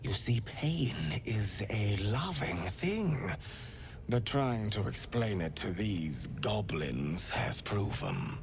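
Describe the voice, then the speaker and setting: An actor playing a serene, fervently devout priest of a pain goddess in a video game, with theatrical, courtly tenderness and a breathy voice that slips into whispers. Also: male voice, lowish voice, animated, takes it slowly, noisy background, heavily acted. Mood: soothing, intimate. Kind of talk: video game character acting.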